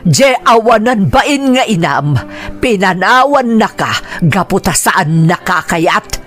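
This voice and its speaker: A woman's voice: mid-range voice, lively intonation, slow pace, soft background music. A woman playing an angry, wronged wife and mother in an audio drama, confrontational and emotional. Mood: angry, accusing. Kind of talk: Ilocano audio drama acting.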